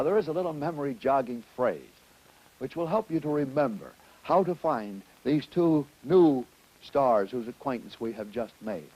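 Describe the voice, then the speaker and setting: A man presenting an educational lecture to students in deliberate, emphatic, didactic phrasing. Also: fairly high pitch, a little mellow, slightly rough, poor audio. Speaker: educational film presenter and astronomy teacher.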